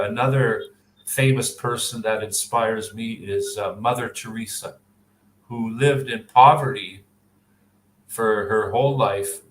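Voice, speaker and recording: Canadian man, unhurried and reflective, restarts his sentences a lot, heard on a video call in an echoey room with faint background hum. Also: on the low side, fairly bright tone. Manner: thoughtful, with frequent fillers and restarts. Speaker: interviewee. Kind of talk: answering mock speaking test questions.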